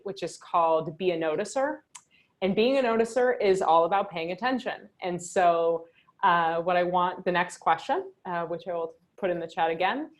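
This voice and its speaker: A woman leading a journaling workshop over a video call, upbeat and chatty, often asking "right?". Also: mid-range voice. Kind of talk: guided reflection on a video call.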